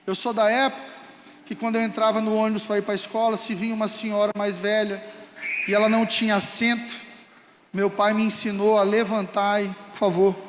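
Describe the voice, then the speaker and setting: High-voiced Brazilian pastor in echoey hall. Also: male voice, a little hiss or hum. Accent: Brazilian Portuguese. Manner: fervent preaching, rising to shouts. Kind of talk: sermon. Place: church hall. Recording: echoey hall with long reverb.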